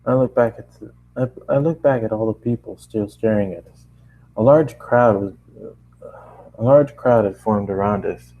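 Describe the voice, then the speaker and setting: A man's voice: lowish voice, moderate pace, narrow, tinny audio. A man narrating a first-person fan fiction story aloud, often stumbling and repeating phrases as he reads.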